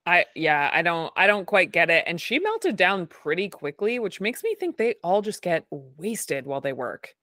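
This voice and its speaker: A woman hosting a casual recap chat in a fairly low, masculine-leaning voice, dry and wry, laughing at her own jokes. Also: big pitch swings, normal speed, few pauses, sharp tone, no echo. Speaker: podcast host.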